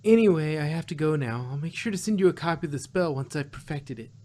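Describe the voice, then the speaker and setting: A man's voice: on the high side, expressive, fast talker, a little mellow, pitched above the performer's own voice, lightly acted. A man voicing a regal female pony princess who is breezy, teasing and stubborn, in an acted fan fiction story. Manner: teasing, dry, commanding.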